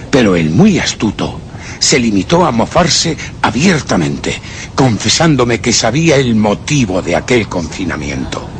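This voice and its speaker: A vengeful murderer telling his tale in a radio horror play. His Castilian Spanish is deliberate and menacing, fairly low-pitched yet highly expressive. Clear noise sits behind the recording. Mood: bitter, vengeful. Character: cold, vengeful male murderer recounting his crime. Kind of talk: dramatic first-person radio horror narration.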